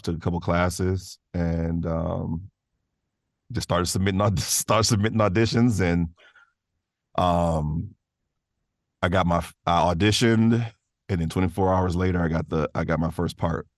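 A man's voice: low voice, steady tone, unhurried, creaky, pauses often, no echo, clean studio sound. A man talking casually and thoughtfully as a guest in an interview about his work, often restarting his phrases. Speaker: voice actor, podcast guest. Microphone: close studio microphone in a vocal booth.

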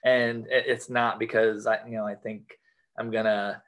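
American man, low voice, flat intonation, casual podcast guest, halting phrasing with repeats. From United States. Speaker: podcast guest, sports card collector. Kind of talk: casual interview chat.

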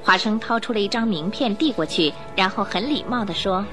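A woman narrating a radio drama in an expressive, scripted storytelling style. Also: medium pitch, brisk, music in the background.

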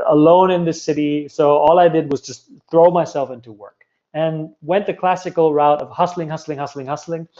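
Man, 30s, German accent, slightly high voice, earnest storytelling, halting restarts, muffled lo-fi recording. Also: darkish tone. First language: German or Italian. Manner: earnest, candid, with frequent restarts and repetitions. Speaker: speaker telling his life story. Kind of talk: personal story talk to an audience. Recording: dull lo-fi sound.

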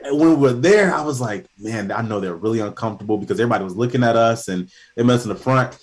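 A man from Ghana talking in a relaxed, conversational way. He tells anecdotes with growing energy, his voice lifting sharply when he acts out what others said. His pitch sits in an ordinary middle range. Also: very fast. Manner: animated storyteller, voice rising high in anecdotes. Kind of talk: casual conversation.

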